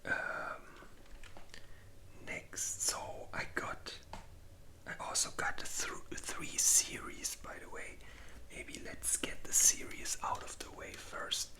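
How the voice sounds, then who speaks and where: An ASMR creator speaking in a breathy whisper, ranking movies in an informal, halting, unhurried way.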